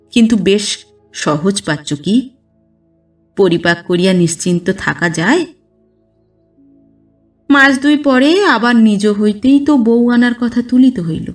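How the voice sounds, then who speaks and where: A woman reading a literary story aloud as a narrator, with expressive, dramatized delivery. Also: medium pitch, far from the mic. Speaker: audiobook narrator. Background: background music.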